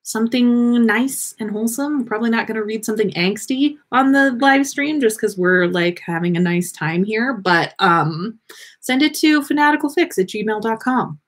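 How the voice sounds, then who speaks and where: A woman hosting a livestream in a playful, expressive manner. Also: fairly high pitch, moderate pace. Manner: playful, sweary, teasing, expressive. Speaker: podcast host reading fan fiction on a livestream. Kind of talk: casual livestream banter.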